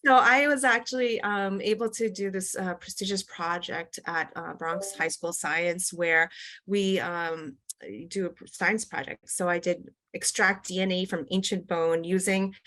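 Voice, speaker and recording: A woman's voice: lowish voice, fairly even intonation, measured pace, a bit bright. A middle-aged woman from the United States, a company executive chatting casually as an interview guest, with lots of fillers and restarts. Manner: chatty, many fillers and restarts, laughs often.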